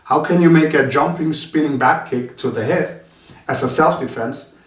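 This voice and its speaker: Man, halting speech full of fillers, echoey room, mic set back. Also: fairly high pitch. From Denmark. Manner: halting, many filler sounds. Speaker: martial arts instructor. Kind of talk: casual interview talk. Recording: roomy sound from a distant mic.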